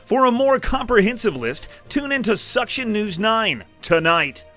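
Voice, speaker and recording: A man's voice: sits high, sing-song, unhurried, over loud music. A man playing a slick, upbeat TV news anchor reading a parody update in a polished announcer manner. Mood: brisk, polished.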